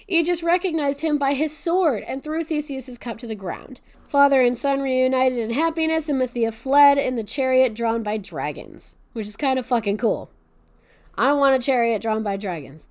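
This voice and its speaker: A woman's voice: high-pitched, a little mellow. A woman hosting a podcast, casually retelling a Greek myth with profanity and sarcastic asides. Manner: swears freely, laughs, adds sarcastic asides. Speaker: podcast host.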